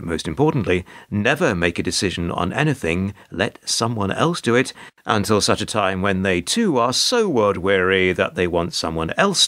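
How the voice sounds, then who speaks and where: An actor in a radio play playing a world-weary, put-upon hotel receptionist who talks in a wry, resigned, long-winded formal way. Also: male voice, deep voice, a bit bright, no room sound, clean studio sound. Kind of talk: radio play monologue and dialogue.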